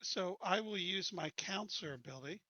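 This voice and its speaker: A man with a very sharp, fairly high voice plays a tabletop game. He talks casually about his moves. The audio is dry and a touch muffled, like a voice chat. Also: breathy edge, no echo. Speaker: player in a tabletop role-playing game.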